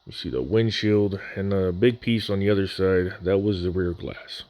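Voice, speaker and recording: Deep-voiced casual man, dry voice-over. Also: normal speed, dark tone, dry recording. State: recovering from near voice loss. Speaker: scale model builder. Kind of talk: voice-over unboxing walkthrough.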